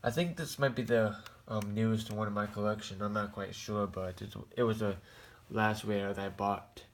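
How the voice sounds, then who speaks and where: An American man giving a casual show-and-tell in a halting, rambling, plain-spoken way. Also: on the low side, fairly even intonation. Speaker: hobbyist vlogger showing a radio collection.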